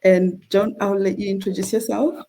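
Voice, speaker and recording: A middle-aged woman from Zimbabwe leads an online conversation for a charity. Her voice is low and mellow with a gravelly edge. She speaks earnestly, with many fillers and restarts. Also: little pitch movement, normal speed, slight background noise. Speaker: charity representative and host.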